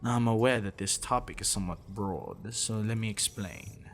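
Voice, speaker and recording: A man playing a possessive, sadistic professor in an ASMR roleplay, condescending and teasing, slipping into intimate whispers, with a low gravelly voice. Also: slight background noise, close to an everyday voice. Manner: condescending, teasing, deliberate, dropping into breathy whispers. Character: possessive sadistic male professor, obsessive, teasing, smugly intellectual.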